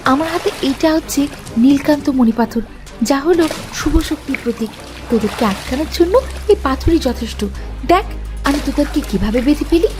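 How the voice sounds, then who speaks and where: A woman acts out a brave young village girl in a cartoon fairy tale. Her voice is high and very animated, earnest and dramatic, with music behind it. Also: normal speed. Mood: defiant.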